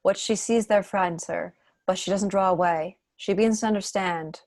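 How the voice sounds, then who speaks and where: A woman's voice: mid-range voice, steady tone, normal speed, darkish tone, no echo. A woman reading scripted stage directions as the narrator of a table read on a video call. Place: indoor room on a video call.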